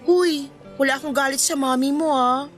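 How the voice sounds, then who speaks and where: An actress playing a considerate, candid young daughter with a Filipino accent in a radio drama. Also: female voice, high-pitched, steady tone, normal speed, soft background music, close to an everyday voice. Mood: tender, curious.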